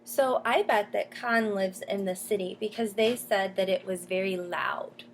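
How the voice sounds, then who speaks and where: A woman teacher reading a picture book aloud to children, pausing to explain and ask questions. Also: mid-range voice, a little hiss or hum. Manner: explains and asks questions as if to young listeners. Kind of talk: storybook read-aloud with comments to children.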